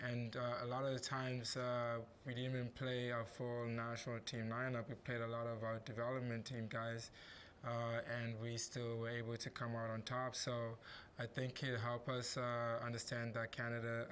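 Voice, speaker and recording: A man in his thirties from Rwanda, a wheelchair basketball athlete answering interview questions, halting with many fillers and restarts. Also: mid-range voice, fairly bright tone, a little hiss or hum. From Rwanda, raised in southern Africa.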